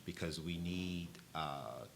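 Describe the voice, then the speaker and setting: A man who teaches reading and writing, talking on a panel in a thoughtful, measured way. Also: low voice, gravelly, slight background noise. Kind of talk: panel discussion.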